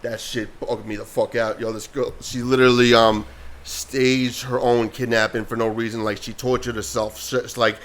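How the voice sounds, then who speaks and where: Loud, animated man telling a story. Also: mid-range voice, moderate pace. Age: middle-aged. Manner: loud, animated storyteller who sits back from the mic. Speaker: podcast co-host. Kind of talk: casual chat.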